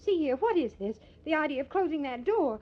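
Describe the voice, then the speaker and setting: An actress playing a haughty, easily offended young society debutante in old-time radio drama, prim and huffy. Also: female voice, high voice, sing-song, fairly quick. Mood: indignant. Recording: dull, lo-fi old radio recording.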